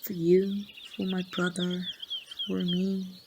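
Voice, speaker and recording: A woman with a Mexican accent playing a grieving survivor in an ASMR roleplay, speaking softly and haltingly to a lost loved one. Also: lowish voice, monotone. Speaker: amateur voice actor. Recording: faint background noise with birdsong.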